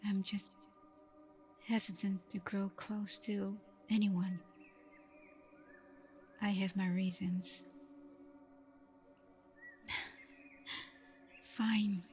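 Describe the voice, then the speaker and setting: A woman acting a protective, scolding but tender vampire girlfriend in an intimate ASMR roleplay, hushed and often slipping into breathy whispers. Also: mid-range voice.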